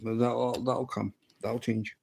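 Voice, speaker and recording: A Scottish man in his fifties chats on his live stream at an easy, unhurried pace. He rambles playfully and fills his pauses with ums and false starts. His pitch sits in the middle range. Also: little pitch movement, gravelly, darkish tone, a little hiss or hum. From Scotland. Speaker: livestream host. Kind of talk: casual live-stream chat.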